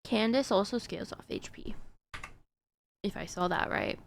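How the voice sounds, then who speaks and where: A woman's voice: medium pitch, normal speed, creaky, slight background noise. A woman streamer chatting casually while she plays, answering her chat with plenty of fillers and little laughs.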